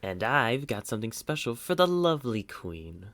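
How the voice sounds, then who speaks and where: A man voicing an excitable young thief who also plays a noble stage swordsman in a theatrical video game fan dub. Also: mid-range voice, normal speed, a little hiss or hum. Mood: excitable banter. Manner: stagey declaiming mixed with grumbling banter.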